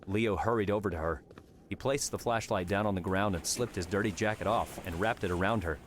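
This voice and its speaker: A man's voice: lowish voice, fairly quick. A man narrating a scripted fantasy story as an audiobook narrator. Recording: clearly noisy background.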